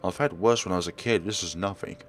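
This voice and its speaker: A man's voice: deep voice, fast, a little hiss or hum. A man voicing a stubborn, plucky young female real estate agent in a visual novel, eagerly brushing off concern. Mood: insistent.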